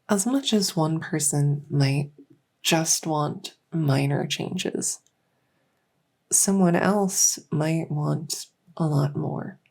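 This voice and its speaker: Soft, creaky, unhurried woman as a dragon-girl doctor. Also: sits low, exaggerated voice. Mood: reassuring, reflective. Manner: unhurried, hesitant pauses, reassuring. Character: gentle petite fairy dragon girl doctor, patient and reassuring. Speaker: doctor in a medical roleplay. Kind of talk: soft-spoken ASMR roleplay.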